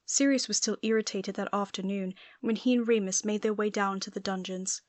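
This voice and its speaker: A woman's voice: mid-range voice, steady tone, brisk, darkish tone, no echo. A woman reading scripted story narration as an audiobook narrator.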